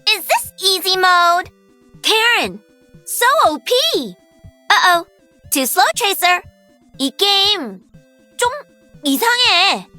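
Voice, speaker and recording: A woman's voice: extremely high pitch, very animated, moderate pace, pauses often, bright, forceful tone, soft background music, exaggerated voice. A woman voicing a perky, cocky young female pro-gamer mech pilot for a video game. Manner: bubbly, cocky, teasing.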